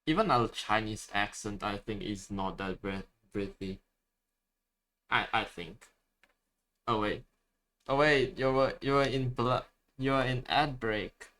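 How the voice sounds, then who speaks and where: A man's voice: fairly low pitch, steady tone, unhurried, long pauses, sharp tone, rough recording. A man with a Malaysian English accent, chatting casually on a live stream and reading replies aloud. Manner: chatty, restarts words, reads answers aloud.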